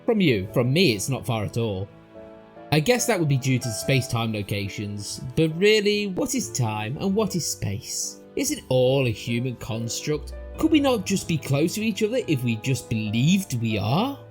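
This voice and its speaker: A man's voice: medium pitch, big pitch swings, moderate pace, faint music behind. A man reading a scripted storybook tale as narrator, in a theatrical, mock-epic manner.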